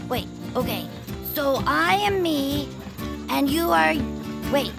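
Excitable, creaky-voiced young female bird. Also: high voice, slow pace, over music, full character voice. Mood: earnest, muddled. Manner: rambling, muddled earnestness. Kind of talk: audio fiction cartoon-style acting.

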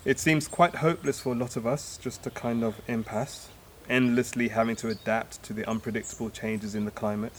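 Wistful idealist played by a man, halting and reflective, naturalistic audio drama, faint outdoor birds and insects. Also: medium pitch, steady tone, slight background noise, natural voice. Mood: reflective, hopeful. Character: wistful departing man, hopeful philosophising idealist, father.

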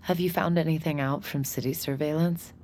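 A woman's voice: deep voice, steady tone, fairly quick, darkish tone, natural voice. A woman playing an anxious, guarded young woman in an audio drama, with an American accent and a hesitant, self-questioning manner. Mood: subdued. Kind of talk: naturalistic audio drama acting.

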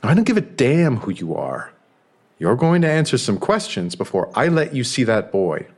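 An actor playing a stern, suspicious hospital doctor, blunt and probing, in a podcast audio drama. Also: male voice, medium pitch, sing-song, moderate pace, darkish tone, husky. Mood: firm, confrontational. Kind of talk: full-cast audio drama.

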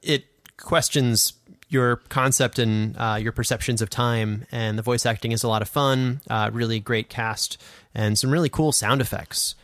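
A man's voice: medium pitch, steady tone, normal speed, a bit bright, no echo, clean studio sound. A man with a mid-range voice co-hosts a podcast. He talks in a casual, conversational way, recommending things to listeners. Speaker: podcast co-host. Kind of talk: casual chat recommending audio drama podcasts.

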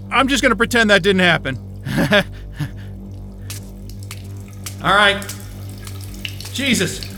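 An actor playing a stubborn, frayed, sardonic astrophysicist in a naturalistic sci-fi audio drama, talking in a profane, wry, agitated way. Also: male voice, sits high, pushed and bright, heavily acted. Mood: tense, weary.

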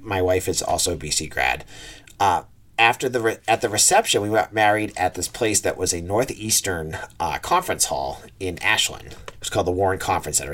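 A man's voice: sits low, fairly bright tone. Congested, nasal man hosting a podcast. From New England. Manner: rambling, self-correcting, chatty. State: congested from a cold. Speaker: podcast host. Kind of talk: casual sports podcast talk.

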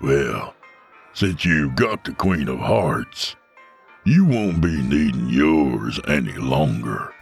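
A man voicing a brutal old-west gunslinger with a gravelly, threatening voice. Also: a bass voice, expressive, slow, soft background music, full character voice. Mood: mocking.

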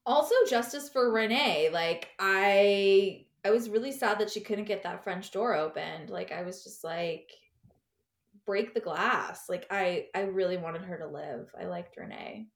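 A woman's voice: medium pitch, unhurried. A woman chatting casually as a podcast guest, slangy and peppering her speech with "like". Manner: slangy, heavy use of "like". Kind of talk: casual, giggly anecdote chat.

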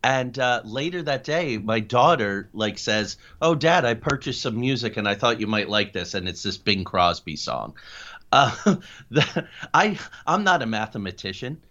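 A middle-aged man answering interview questions as a guest, explaining things in an animated, anecdotal way. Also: mid-range voice, measured pace. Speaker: interview guest, paranormal investigator and writer. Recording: dull, lo-fi audio.

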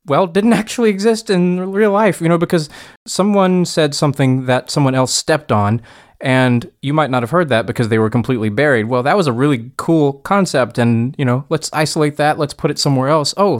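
A man's voice: a little high, fairly quick. Easygoing man explaining audio editing. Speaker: podcast audio editor. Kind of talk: casual podcast chat.

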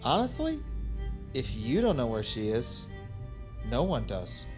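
A self-important, resentful doctor in an audio drama. His phrasing is halting and dismissive with lively pitch movement, and faint music sits behind him.

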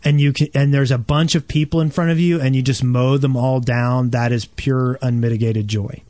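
A man's voice: mid-range voice, fairly even intonation, normal speed, dark tone, no room sound. A man co-hosting a podcast, chatting casually and telling anecdotes. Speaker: podcast co-host.

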